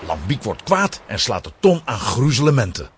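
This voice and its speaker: A man's voice: mid-range voice, sing-song, normal speed, slight background noise. A man narrating a children's audio play in a scripted storytelling style.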